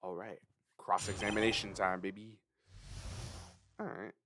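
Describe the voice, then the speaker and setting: A man's voice: fairly low pitch, little pitch movement, unhurried. A man who speaks English as a second language, doing casual, self-mocking commentary while he plays a video game and thinks aloud. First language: not English. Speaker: streamer playing a video game. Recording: music behind the voice. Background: game music.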